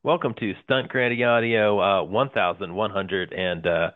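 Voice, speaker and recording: A man in his forties with a fairly low voice, co-hosting a casual podcast, hesitant and full of fillers. He sounds thin and phone-like, as if over a call line, and the sound is dry and close. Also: normal speed, no room sound. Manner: hesitant, many "uh"s, easy laughter. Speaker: podcast co-host. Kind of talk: casual chat.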